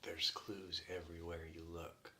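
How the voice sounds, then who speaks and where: A man playing an earnest, paranoid conspiracy theorist in a whispery ASMR roleplay, halting and rambling, often slipping into a whisper. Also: low-pitched, flat delivery, background noise. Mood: conspiratorial. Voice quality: hushed, breaking often into whisper. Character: earnest, paranoid, tipsy male conspiracy theorist club member.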